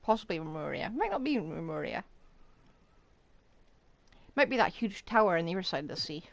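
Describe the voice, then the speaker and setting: Animated woman casually commenting on gameplay. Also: fairly low pitch, brisk, gravelly, no room sound. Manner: musing aloud, thinking in questions. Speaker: game streamer. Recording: faint game music behind. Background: game music.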